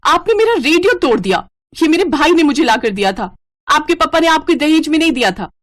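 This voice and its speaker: A woman voicing a bratty, defiant young sister-in-law in a story, with a shrill, taunting manner. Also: sing-song, a little fast, fairly bright tone. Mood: furious, taunting.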